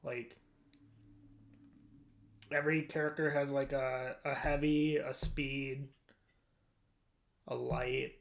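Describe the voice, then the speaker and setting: A man talks in a loose, conversational podcast style with a mid-range voice. He rambles informally and thinks out loud as he goes, like someone chatting about games with friends. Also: mid-range voice, little pitch movement, slow pace, gravelly, long pauses. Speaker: podcast co-host. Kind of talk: casual chat about video games.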